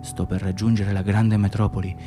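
A man's voice: lowish voice, flat delivery, moderate pace, close to an everyday voice. A man playing a persistent, wistful stranger in a radio play, insistent and dramatic, often repeating his phrases. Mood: fervent, bitter. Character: persistent, wistful male stranger musing on travel and home. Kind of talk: Italian radio play acting. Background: music.

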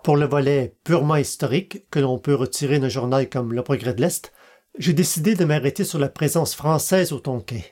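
A man's voice: medium pitch, fairly quick, darkish tone. A man hosting a podcast, reading scripted historical narration aloud. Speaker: podcast host. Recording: long reverberant hall echo.

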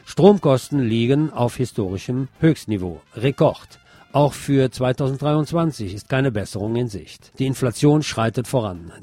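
A man's voice: mid-range voice, warm tone, dry recording. A man reading scripted radio news as the presenter.

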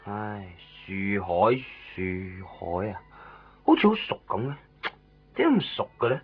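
An actor playing an excitable, scatterbrained but helpful man in a Cantonese radio drama, stammering and repeating himself. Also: lowish voice, a little slow, husky, heavily acted. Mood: puzzled, reluctant. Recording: tinny, band-limited 1990s radio recording, faint music.

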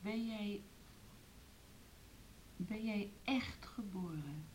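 An actress in a radio play playing a sick, frightened woman who speaks haltingly and pleadingly. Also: mid-range voice, poor audio. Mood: weak, weary. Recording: hissy, clicky old radio recording.

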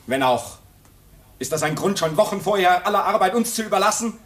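A man's voice: sits high, expressive, a little fast, fairly bright tone, breathy edge, heavily acted. An actor playing a restless, resentful man in his twenties in a 1950s German radio drama, with a clipped, insistent delivery. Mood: bitter, agitated. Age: 20s. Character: restless, resentful young man of twenty-five at polar station.

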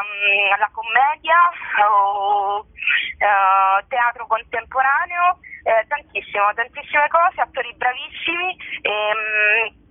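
A woman's voice: medium pitch, dry recording. Woman speaking over a tinny phone line. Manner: hesitant, many filler sounds. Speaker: guest presenting a theatre festival. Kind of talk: phone-in radio interview. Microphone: telephone.

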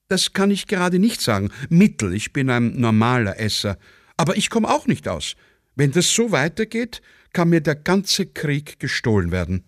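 A man's voice: fairly high pitch, big pitch swings, normal speed, no room sound, studio quality, voice pushed up. A man voicing a fussy, inquisitive, grumbling Viennese citizen in a satirical radio play. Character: fussy, curious, average-eating Viennese man, chatty grumbler.

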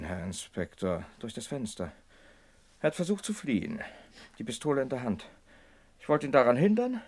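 A man's voice: mid-range voice, creaky, a little mellow. An actor playing an evasive man justifying himself to a police inspector in a German radio crime drama.

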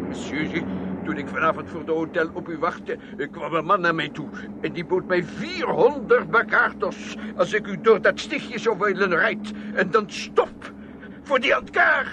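High-pitched cringing foreign driver played by a man. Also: background noise, close to an everyday voice. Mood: nervous, defensive. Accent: foreign, broken Dutch. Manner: servile, halting, repetitive pleading. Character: cringing, apologetic foreign driver, pleading with his employer. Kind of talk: radio drama acting.

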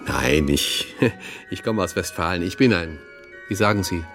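An actor playing a polite, eager radio interviewer from Westphalia in a radio play, courteous and conversational. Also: male voice, low voice, a little slow, a bit bright, faint music behind. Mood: courteous, probing.

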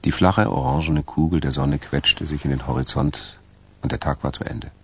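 A man narrating a crime radio play in a measured, wry, literary way. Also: a bass voice, animated, gravelly, a bit bright, husky, full character voice. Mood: atmospheric. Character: dry male narrator of a crime story.

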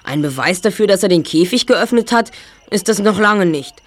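A male actor playing an analytical, sceptical boy detective, speaking deliberately and a little formally in an audio drama. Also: high-pitched, animated, slight background noise. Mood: sceptical, probing. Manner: deliberate, reasoning, slightly formal. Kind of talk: German audio drama dialogue.

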